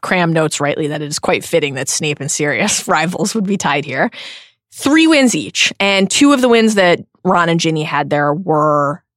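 A woman in her thirties co-hosting a podcast, speaking earnestly and with emphatic, drawn-out stress. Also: deep voice, lively intonation, dry recording, studio quality. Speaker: podcast co-host. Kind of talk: heartfelt podcast chat.

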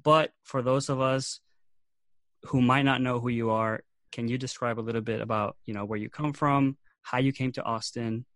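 A man hosts a podcast, greeting listeners and asking questions with fillers. His voice is mid-pitched and recorded dry, with no room sound. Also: fairly even intonation, moderate pace, fairly bright tone, no room sound. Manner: upbeat, hesitant questions with fillers. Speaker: podcast host. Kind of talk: casual interview.

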